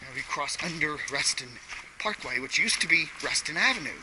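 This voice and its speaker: A man with a slightly hoarse voice from a cold, chatting casually like a tour guide while walking a trail outdoors. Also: on the high side, expressive, fairly quick. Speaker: hiking vlogger. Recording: loud outdoor background noise.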